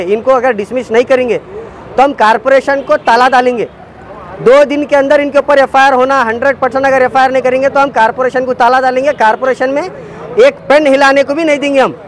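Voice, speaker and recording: A very high-pitched man with a Deccani accent delivers a protest statement as an activist spokesman. He is indignant and accusatory, repeating his demands for emphasis. Faint vehicle noise sits behind his voice.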